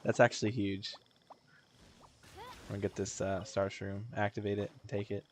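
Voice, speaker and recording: A man's voice: fairly low pitch, flat delivery, normal speed, slight background noise. A man giving casual, jokey gameplay commentary as a streamer, full of slang. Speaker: game streamer. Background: game music.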